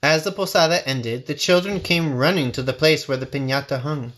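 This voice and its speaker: A man narrating a children's storybook with expressive, measured phrasing. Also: on the high side. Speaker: storybook reader.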